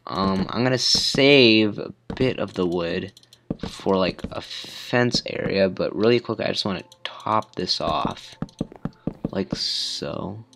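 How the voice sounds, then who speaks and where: A deep-voiced teenage boy, hoarse from a cold, drawls slowly through a relaxed gameplay commentary. He rambles and fills pauses with ums and uhs, with faint keyboard clicks underneath. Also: background noise. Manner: rambling, lots of fillers. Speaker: gamer.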